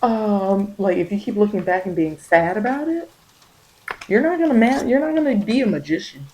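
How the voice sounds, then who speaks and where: A woman's voice: mid-range voice, animated, normal speed, dark tone, a little hiss or hum, rough recording. A woman giving an informal ASMR tarot reading, coaching the listener with emphatic, repeated phrases. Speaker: tarot reader.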